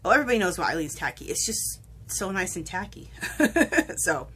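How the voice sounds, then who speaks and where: A woman's voice: lowish voice, very animated, normal speed, a bit bright, breathy edge. A woman talking casually through a paper craft tutorial at her table, rambling and correcting herself, sniffling from a cold. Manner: chatty, rambling, self-correcting. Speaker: crafting vlogger. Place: home craft table, indoors.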